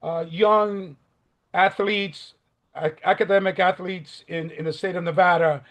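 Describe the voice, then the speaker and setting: An older man from the Dominican Republic, giving a halting, earnest opening statement in a remote job interview over a video call. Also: sits high, fairly even intonation, fairly bright tone, lo-fi sound. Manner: earnest, halting with many fillers. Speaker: job candidate.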